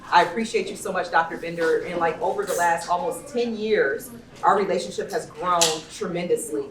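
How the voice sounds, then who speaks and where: A woman's voice: on the low side, brisk. A woman moderating a panel informally, chatty, personal and effusive as she introduces people. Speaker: panel moderator and event host. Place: indoor event venue, amplified panel talk. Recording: echoey room, distant mic, faint noise.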